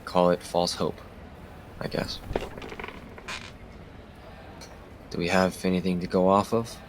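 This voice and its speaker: Actor as despairing male detective, low voice, terse, bitter, audio drama, faint background hum. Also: flat delivery, moderate pace, close to an everyday voice. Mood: defeated. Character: weary, despairing male police detective confessing on tape.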